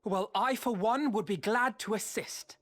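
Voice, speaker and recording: A man's voice: sits high, unhurried, a bit bright. An actor playing an earnest, refined young male scholar in a fantasy video game, with formal, eloquent diction. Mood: willing. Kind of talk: scripted video game cutscene acting.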